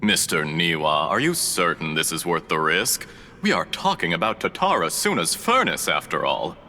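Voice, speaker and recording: A man voicing a scheming male scholar in a game cutscene, oily and flattering, speaking with mocking chuckles. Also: low-pitched, normal speed, slight background noise, full character voice.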